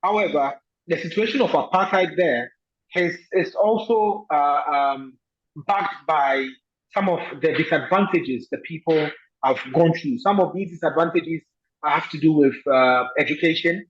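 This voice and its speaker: A man from Ghana, a guest answering interview questions in a thoughtful way, pausing often with fillers. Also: fairly high pitch, muffled recording.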